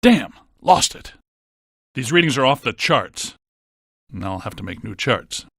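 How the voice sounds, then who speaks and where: A man playing a brainy, deadpan scientist ghost hunter in a video game, with precise technical wording. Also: mid-range voice, measured pace, creaky, husky, no room sound, clean studio sound, exaggerated voice. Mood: urgent, alarmed. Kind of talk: scripted video game voice acting.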